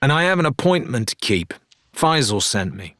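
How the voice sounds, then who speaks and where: A man's voice: medium pitch, big pitch swings, moderate pace, a bit bright, dry recording, pitched above the performer's own voice. A man voicing a brusque, impatient young female pirate in an audiobook, challenging and sarcastic. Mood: curt. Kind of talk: dramatised audiobook character dialogue.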